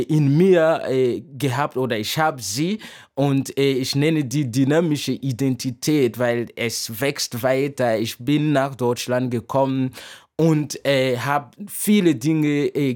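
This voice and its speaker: A man from from there, a musician and doctoral student giving thoughtful interview answers with many pauses and fillers. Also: medium pitch, a little mellow. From Burkina Faso. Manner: thoughtful, frequent hesitations and fillers.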